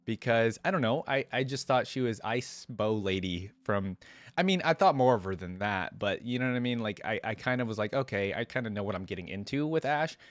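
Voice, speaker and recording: A man's voice: lowish voice, animated, fairly quick, dry recording. A man giving casual, conversational reaction commentary on a video game, rambling with frequent asides.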